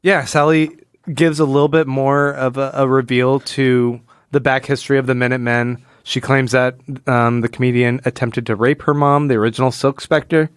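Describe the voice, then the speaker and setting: A man with a smooth, clear voice hosts a casual discussion show. He sits at a middle pitch and is recorded close and dry. He breaks things down conversationally, slipping into quoted lines as he retells them. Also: level tone, normal speed, no room sound. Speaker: podcast host. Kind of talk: casual comic book analysis chat.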